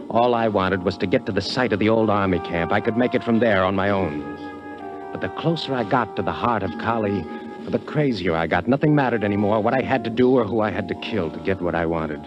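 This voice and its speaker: An actor playing a greedy, hard-bitten American adventurer in a 1950s radio drama, speaking tersely. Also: male voice, fairly low pitch, lightly acted. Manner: terse, tough. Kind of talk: 1950s radio drama acting, first-person narration. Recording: muffled vintage 1950s radio recording with music.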